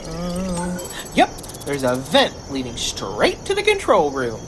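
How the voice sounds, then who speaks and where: A woman's voice: on the low side, wildly swooping pitch, takes it slowly, background noise, heavily acted. A woman playing an anxious, sardonic tech officer aboard a spaceship in a sci-fi comedy audio drama. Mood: focused.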